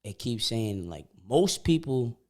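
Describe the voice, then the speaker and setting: A man's voice: mid-range voice, slow pace. Slangy young man telling stories animatedly. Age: 20s. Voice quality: repeats words when starting sentences. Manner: animated storytelling, slangy, often acts out quotes. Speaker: podcast co-host. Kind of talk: casual chat.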